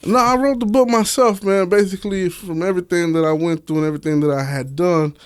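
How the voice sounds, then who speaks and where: A man's voice: sits high, fast, smooth, no room sound, studio quality. A man from Miami talking as a guest in a casual podcast chat, candid and slangy. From Miami, United States. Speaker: interview guest, author and stage play director.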